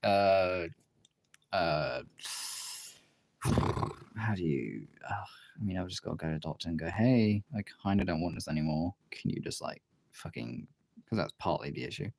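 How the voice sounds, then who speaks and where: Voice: deep voice, lively intonation, normal speed, gravelly, long pauses, darkish tone, a little hiss or hum. A livestream host with a congested, tired voice, chatting casually and informally to viewers while drawing, rambling and swearing now and then.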